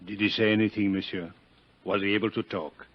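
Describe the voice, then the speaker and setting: An actor playing a formal French-speaking police inspector in a 1950s radio drama, with a husky voice and questioning manner. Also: male voice, lowish voice, fairly even intonation, a little fast, soft background music, close to an everyday voice. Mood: pressing. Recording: muffled old radio recording.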